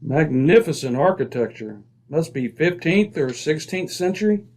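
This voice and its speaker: A man playing a composed, observant British gentleman detective, crisp, dry and a little superior, in an old-time radio drama. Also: fairly high pitch, animated, normal speed. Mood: inquisitive.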